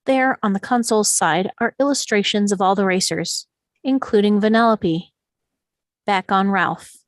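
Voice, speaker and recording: A woman's voice: medium pitch, normal speed, no echo. Woman narrating a screenplay, very dry sound. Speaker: stage directions narrator. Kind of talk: scripted narration of screenplay table read.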